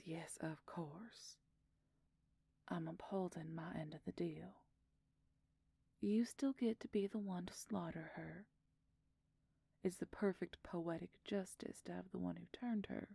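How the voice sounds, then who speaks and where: A woman playing a smug, possessive royal werewolf schemer in an audio roleplay, silky and condescending, with a husky, breathy, creaky near-whisper. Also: lowish voice, mellow, dry recording, full character voice. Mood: cold, scheming. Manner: silky, condescending, slow and deliberate. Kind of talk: audio roleplay acting, addressing the listener.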